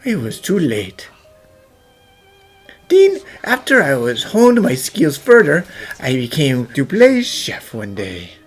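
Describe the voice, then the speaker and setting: A woman voicing an earnest, remorseful male chef and devoted father in a cartoon fan dub, halting and emotional. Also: low voice, wildly swooping pitch, husky, faint music behind, exaggerated voice. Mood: regretful. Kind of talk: fan-dub character acting, dramatic storytelling.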